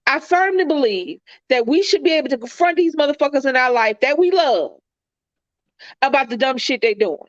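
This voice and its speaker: Woman, congested, earnest and reflective, high voice, dry dull lo-fi sound. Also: lively intonation, moderate pace, no echo. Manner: earnest, reflective, preachy, repeats phrases. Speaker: co-host. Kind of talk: casual heartfelt chat.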